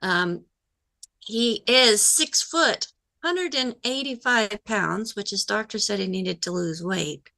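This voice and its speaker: A woman's voice: mid-range voice, animated, takes it slowly, vocal fry, bright, forceful tone. A woman co-hosting a call-in health show, reading listener questions and speaking upbeat to the audience.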